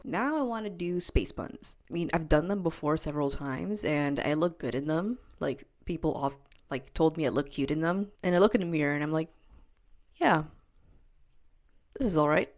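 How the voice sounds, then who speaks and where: A young woman chatting casually to her camera at home, talking quickly and stumbling over her words. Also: sits low, darkish tone, no echo, clean studio sound. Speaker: vlogger. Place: at home, indoors.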